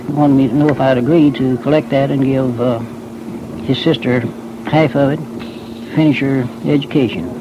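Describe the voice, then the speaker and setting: A man with a Southern Appalachian accent, a storekeeper plainly recounting what he saw in a halting, folksy manner. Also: medium pitch, fairly even intonation. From rural Virginia, United States. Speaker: storekeeper and eyewitness. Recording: noisy, dull old field recording.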